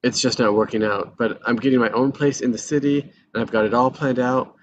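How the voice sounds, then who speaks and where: A man acts out a restless thirty-something husband in a drama read-through. His mid-pitched voice is casual and halting, with self-pity under it. The sound is slightly muffled, with faint noise. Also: fairly even intonation, close to an everyday voice. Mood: earnest, nervous. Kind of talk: live screenplay table read.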